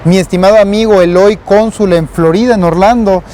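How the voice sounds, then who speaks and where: A man hosting a street interview, greeting viewers and drawing out his guest with friendly questions and steady backchannel. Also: high-pitched, fairly quick, a little hiss or hum. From Mexico. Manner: friendly, encouraging, frequent backchannel. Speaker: host. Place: outdoors on a city street.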